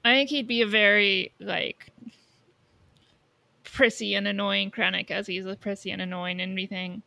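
A woman's voice: mid-range voice, steady tone, moderate pace, creaky, sharp tone, no room sound. A woman co-hosting a podcast in casual chat, with a blunt, opinionated way of talking. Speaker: podcast co-host.

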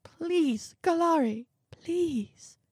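A woman voicing a small, anxious man who warns and pleads in a soft, high voice. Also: animated, very slow, no room sound, studio quality, full character voice. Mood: pleading.

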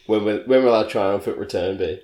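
A man's voice: on the low side, a little fast, dark tone. A young man co-hosting a podcast in casual chat, congested with the flu, dry and teasing, and laughing often. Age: young adult. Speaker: podcast co-host. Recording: echoey room.

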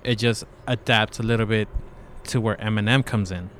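Halting man co-hosting a casual podcast. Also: on the low side, steady tone, fairly bright tone, slight background noise. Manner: halting, many fillers and restarts. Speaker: co-host. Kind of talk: casual chat.

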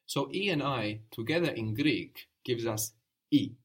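A man's voice: mid-range voice, animated, measured pace, breathy edge. A man teaching a language lesson, patiently prompting his student with questions and brief praise.

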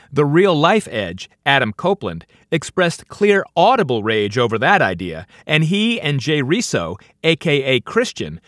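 A middle-aged man narrating his own memoir as an audiobook, with wry delivery and deliberate pauses before punchlines. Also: on the high side, a bit bright, no room sound. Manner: wry, dry comic timing with punchline pauses. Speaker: author reading own audiobook. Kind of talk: scripted audiobook narration, first-person memoir.

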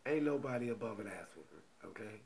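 A man hosting a podcast in loose, profane casual chat, getting loud and animated when excited. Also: on the low side, fast, creaky, a loud crowd. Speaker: podcast host.

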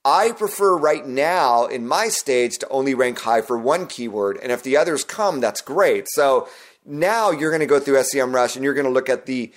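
A man hosting his own podcast, talking listeners through his process in an earnest, instructive way, his voice gone hoarse. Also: medium pitch, animated, normal speed. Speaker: podcast host. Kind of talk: solo podcast monologue.

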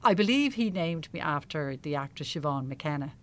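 A woman's voice: low-pitched, animated, normal speed. A middle-aged Irish woman chatting casually as an interview guest, telling anecdotes in a self-deprecating way. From Ireland. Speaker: interview guest, amateur actor.

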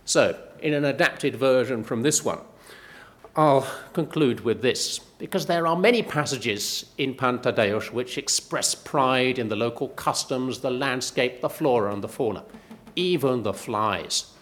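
A middle-aged male professor giving a prepared, measured talk with dry, wry asides. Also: medium pitch, expressive, fairly bright tone. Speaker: professor giving a book pitch.

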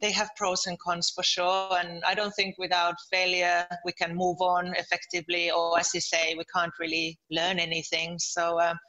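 A woman's voice: lowish voice, monotone, normal speed, hardly any pauses, bright, forceful tone, dull audio. A middle-aged woman from Finland, talking conversationally as an interview guest. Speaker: podcast guest, entrepreneur.

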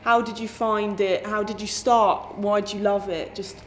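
A woman's voice: medium pitch, fairly even intonation, moderate pace, a little hiss or hum. A woman interviewing theatre cast members in a chatty way, with frequent affirming murmurs. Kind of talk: casual interview.

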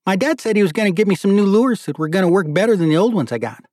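A man's voice: high-pitched, animated, very fast, darkish tone, no echo, clean studio sound, voice pushed up. A man voicing an eager, guileless young man with childlike enthusiasm in an audiobook story. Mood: eager, proud. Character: eager, innocent, excitable simple-hearted young man.

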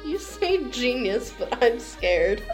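A woman's voice: a little high, sing-song, takes it slowly, music in the background, rough recording. A woman guest on a podcast, chatting casually about games in a dryly joking, emphatic way.

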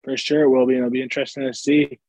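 A man's voice: medium pitch, level tone, very fast, a crowd in the background, rough recording. A man co-hosting a sports podcast in casual chat, his throat sore as he loses his voice. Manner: laid-back agreeing banter. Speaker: podcast co-host.

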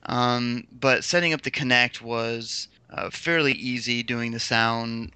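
A man in his thirties, a guest in a casual podcast chat, animated and joking, swearing now and then. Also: medium pitch, a little slow, clean tone, no echo.

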